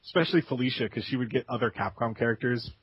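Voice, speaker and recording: A man talks games on a casual podcast in a relaxed, chuckling way. His voice is slightly husky and mid-pitched. The sound is dry and dull, from a home mic. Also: fast talker, no echo. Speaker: podcast co-host. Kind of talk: casual gaming podcast chat.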